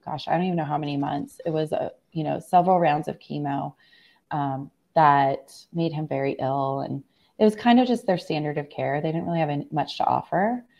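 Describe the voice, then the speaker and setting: Low, creaky American woman, slightly hoarse. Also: fairly quick, a little mellow, lo-fi sound. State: hoarse after laryngitis. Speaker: interview guest. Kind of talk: personal storytelling in casual interview. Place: room at home, on a video call. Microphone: video call.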